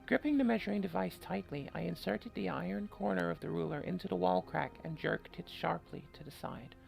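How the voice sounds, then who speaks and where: A gamer voicing a creaky, wry horror-game heroine. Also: mid-range voice, fairly quick, darkish tone, faint music behind. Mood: curious, suspicious. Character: Eve, female game protagonist, wry and self-reproaching narrator. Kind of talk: dramatic reading of visual novel narration.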